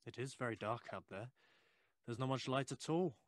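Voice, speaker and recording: A man playing a curious, polite gentleman visitor in an audio drama, asking probing questions courteously. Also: medium pitch, moderate pace, close to an everyday voice.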